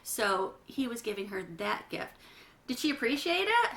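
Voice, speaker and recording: A woman reads a children's story aloud in a highish, very animated voice. The tone is bright and clear-edged, moving between storybook narration and chatty asides to young listeners. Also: moderate pace. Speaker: children's read-aloud reader.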